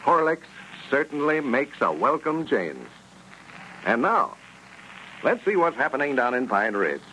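Male radio announcer, American accent, bright forceful tone, hugely varied intonation, polished, 1930s style, noisy old recording. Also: mid-range voice, very animated, a little slow. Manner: polished, presentational. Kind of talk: 1930s radio announcing, sponsor pitch and story recap.

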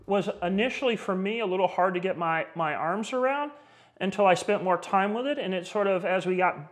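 Male reviewer, middle-aged, slightly high voice, conversational and halting as he talks through audio gear. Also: soft background music.

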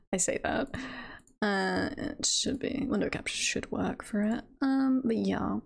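A woman's voice: medium pitch, slow, creaky, slightly rough. A woman chatting casually on a livestream in a giggly, playful, rambling way. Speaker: livestreamer, virtual avatar host.

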